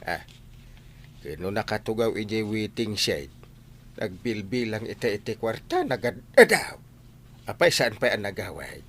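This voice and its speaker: An actor playing an excitable, nosy villager in an Ilocano comedy radio drama, full of exclamations and with an Ilocano accent. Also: mid-range voice, a little slow, long pauses, a little hiss or hum. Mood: surprised. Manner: exclamatory, reacts with loud surprise.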